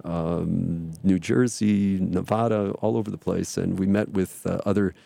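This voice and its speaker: A middle-aged man speaking for his church to listeners, rambling and filling pauses with many ums and uhs. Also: sits low, steady tone, creaky, mellow, no echo. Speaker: church representative. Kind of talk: speaking to listeners, promotional talk.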